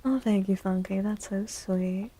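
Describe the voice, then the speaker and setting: A woman speaking softly and gently in a breathy, soothing ASMR style, often slipping into a whisper as she chats with stream viewers. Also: mid-range voice, fairly even intonation, unhurried, slight background noise. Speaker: livestreamer hosting an ASMR stream. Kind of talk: soft-spoken ASMR, chatting with viewers. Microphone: close microphone.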